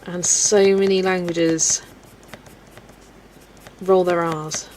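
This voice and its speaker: A young woman hosting a colouring livestream, chatting casually with viewers, relaxed and laughing often as she works. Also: lowish voice, extremely slow, a little hiss or hum, low-quality recording. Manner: relaxed and chatty, laughs often, talks to herself while working. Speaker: livestream host. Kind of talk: casual chat with a live chat while colouring.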